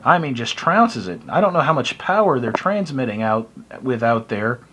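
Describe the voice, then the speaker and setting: A middle-aged man with an American accent speaks in a mid-pitched voice, close to the device in a small room. He delivers a casual, opinionated monologue. His manner is blunt and wry. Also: very animated, normal speed, bright, forceful tone, slight background noise. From United States. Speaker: hobbyist video blogger. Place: small indoor room by a window. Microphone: phone or webcam close to the face.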